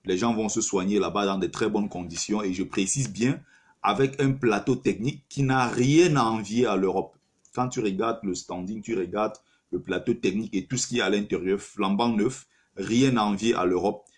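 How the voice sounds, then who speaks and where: A man hosts a live stream and argues his opinions point by point, often turning to speak straight to the listener. His voice sits low and has a husky, breathy edge. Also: moderate pace. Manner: argumentative, rhetorical, addresses listener directly. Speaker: livestream host taking callers.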